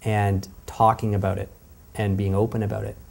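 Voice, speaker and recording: Gravelly, husky-voiced man. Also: lowish voice, level tone, normal speed, darkish tone. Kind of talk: interview answer.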